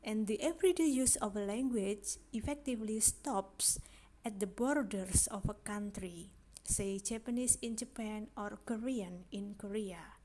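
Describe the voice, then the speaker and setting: A woman's voice: medium pitch, a little slow, warm tone, rough recording. A woman with an Indonesian accent giving a recorded lecture on language teaching. She goes unhurriedly, repeats her points and keeps asking rhetorical questions with a frequent "yeah". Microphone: screen recording on a phone.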